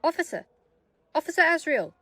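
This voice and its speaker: A woman's voice: sits high, very animated, fairly quick, a bit bright, slight background noise. A woman playing a desperate, earnest young detainee in an audio drama. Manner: pleading, earnest.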